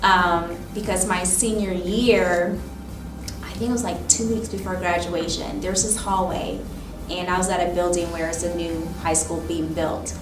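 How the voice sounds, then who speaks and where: Woman, 30s, teacher, oral history interview, reflective, fillers, lower voice, distant, echoey room, music behind. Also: steady tone, normal speed. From Michigan, raised in Ohio. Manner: reflective, many fillers like "um" and "you know". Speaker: teacher and instructional coach.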